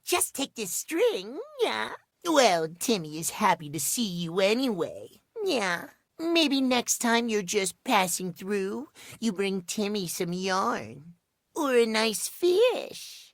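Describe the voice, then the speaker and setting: A man's voice: sits high, sing-song, slow pace, vocal fry, fairly bright tone, no room sound, studio quality, exaggerated voice. A man voicing a childish, needy living clock boy in a video game, with cutesy, playful baby talk that refers to himself in the third person. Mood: playful, gleeful. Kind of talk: animated video game character acting.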